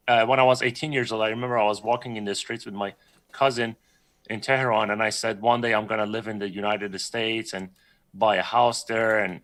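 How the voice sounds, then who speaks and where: A man's voice: mid-range voice, sharp tone. A man from Iran, a guest on a livestream chat, telling his story in a rambling, self-correcting way and laughing often. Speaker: guest artist.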